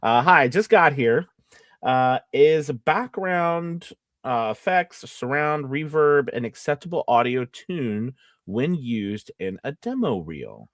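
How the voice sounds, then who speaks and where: A man's voice: mid-range voice, lively intonation, slow, dry recording. Man hosting live stream, dry close sound. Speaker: live stream host and voice-over coach. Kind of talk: casual live Q&A chat.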